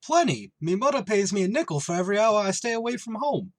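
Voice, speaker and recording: An actor playing a guileless, slow-witted barfly with a husky voice in old-time radio comedy style. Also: male voice, high voice, sing-song, no echo, clean studio sound. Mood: dim, earnest. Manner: simple-minded, colloquial.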